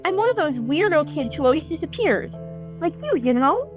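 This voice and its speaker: A woman's voice: high voice, big pitch swings, moderate pace, muffled recording, soft background music. A woman voicing an earnest, aggrieved young teen boy hero in a fantasy audio drama, emotional and a little whiny. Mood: hurt, upset.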